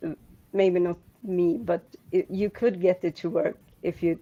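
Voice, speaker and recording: A woman from Sweden with a Swedish accent, speaking English as a second language in a casual online group chat, halting and rambling with many restarts and fillers. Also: on the low side, steady tone, lo-fi sound.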